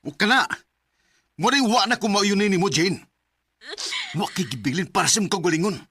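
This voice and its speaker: Slightly husky young boyfriend, Bisaya accent. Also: male voice, high voice, expressive, normal speed, bright, forceful tone, full character voice. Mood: defensive, firm. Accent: Filipino Bisaya. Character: young boyfriend, smooth-talking and self-justifying. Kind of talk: Bisaya radio drama acting.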